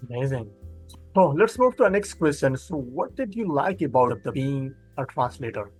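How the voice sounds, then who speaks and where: A man's voice: medium pitch, sing-song, normal speed, darkish tone, husky. A man in his thirties from Pakistan, hosting an interview over a headset from a room with bookshelves, asking plain, loosely worded questions. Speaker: host. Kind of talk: casual interview over video call. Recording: faint background music.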